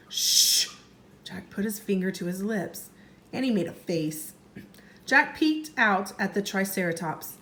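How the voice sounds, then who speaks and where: A woman teacher reading a story aloud to young children in an expressive way, pausing to explain and ask questions. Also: mid-range voice. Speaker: first grade teacher.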